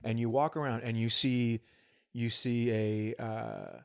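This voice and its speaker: A middle-aged man co-hosting a comedy podcast, telling exaggerated stories with a profane, deadpan delivery. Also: medium pitch, takes it slowly, vocal fry. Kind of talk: comedic podcast banter and storytelling.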